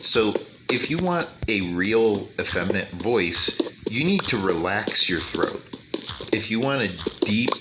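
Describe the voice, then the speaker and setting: A man with a deep bass voice talking slowly and deliberately over gameplay, with many fillers. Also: expressive, heavy background noise. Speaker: gaming YouTuber. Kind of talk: casual commentary over gameplay.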